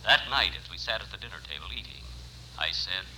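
A man's voice: sits low, animated, fairly quick, pushed and bright, heavily acted. An actor playing a surgeon who narrates his romance in a wry, mock-dramatic radio comedy style. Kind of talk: scripted old-time radio comedy narration. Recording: noisy old radio recording.

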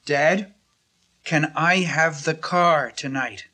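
An earnest teenage son in a radio play, politely wheedling. His voice is high and bright with a husky edge. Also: slow pace, full character voice. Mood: hopeful. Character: pleading, polite seventeen-year-old teenage son. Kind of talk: radio drama acting.

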